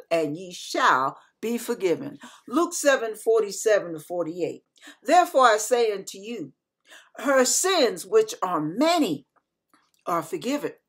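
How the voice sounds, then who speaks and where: Slow, expressive woman preaching a devotional. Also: medium pitch, slightly rough. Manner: earnest, instructive. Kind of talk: scripted devotional sermon.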